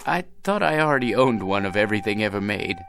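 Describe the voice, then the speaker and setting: An actor playing a weary, generous and wistful millionaire in a radio drama. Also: male voice, on the low side, expressive, fast, creaky, fairly bright tone. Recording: music under the dialogue.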